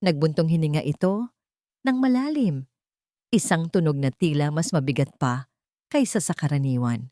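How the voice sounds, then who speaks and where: A woman's voice: sits low, lively intonation, normal speed, pauses often, warm tone, no echo, studio quality. A woman with a Filipino accent reading a scripted dramatic story as narrator.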